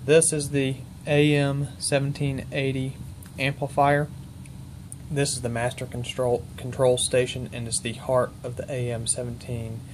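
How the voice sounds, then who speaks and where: A man's voice: medium pitch, a little hiss or hum. A man giving an informal technical walkthrough as he demonstrates equipment, speaking in a methodical, matter-of-fact way.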